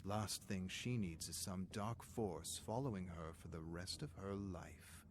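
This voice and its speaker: A man's voice: deep voice, unhurried, heavy background noise. A man reading scripted fiction as a narrator, with a dramatic storytelling delivery.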